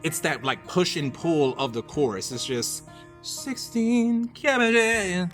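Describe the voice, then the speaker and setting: An American man hosting a live show with slangy, animated banter that can break into sing-song. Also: high-pitched, slow, faint music behind. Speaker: radio show host. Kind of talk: lively hot-topics banter on a live show.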